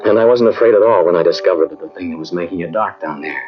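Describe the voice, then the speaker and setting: Man playing a haunted scientist, low voice, halting brooding storytelling, radio mystery, dull old tape, music underneath. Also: close to an everyday voice. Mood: haunted awe. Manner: dramatic, halting, brooding delivery. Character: haunted male scientist recounting a terrifying tomb ordeal. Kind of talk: old-time radio drama acting, first-person narration.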